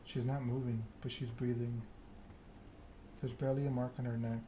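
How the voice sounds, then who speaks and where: Male ASMR narrator for a text-driven game, very even pitch, clearly noisy ambient background. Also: normal speed. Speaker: streamer reading a game aloud.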